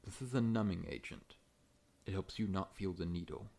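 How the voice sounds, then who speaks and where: Man voicing a very deep, gentle monster dentist. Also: normal speed, creaky. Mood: friendly, reassuring. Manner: gentle, reassuring, professional bedside talk. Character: large, friendly, scorpion-like monster dentist with many legs. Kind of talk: ASMR roleplay.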